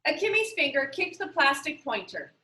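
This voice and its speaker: Female storyteller, high and lively, expressive children's-book read-aloud, echoey room. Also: moderate pace. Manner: animated, acting out dialogue.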